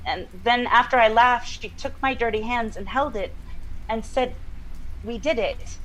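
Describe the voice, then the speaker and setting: An actress playing a haunted, enraptured woman recounting a dream in a halting, wistful monologue. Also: on the high side, fairly bright tone, low-quality recording. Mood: dreamy, awed. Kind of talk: dramatic interview-style monologue.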